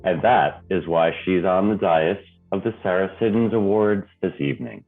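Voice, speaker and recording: An actor playing a suave, cynical theater critic in a radio play, with a dry, deliberate, knowing delivery. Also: male voice, sits low. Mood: commanding, knowing. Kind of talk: theatrical radio drama acting. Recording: thin phone-like sound, music behind.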